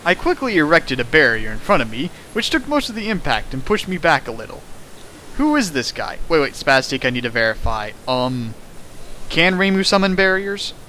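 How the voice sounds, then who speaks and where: A young man reading a fan fiction aloud and riffing on it, with big comic swings of pitch. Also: normal speed, slight background noise. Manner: animated, jumps in pitch for jokes and sound effects. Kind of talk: comedic dramatic reading with riffing.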